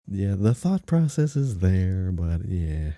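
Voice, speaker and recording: A man's voice: low voice, sing-song, takes it slowly, mellow, dry recording, studio quality. A man co-hosting a podcast, chatting casually as he thinks through a guessing game. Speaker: podcast co-host.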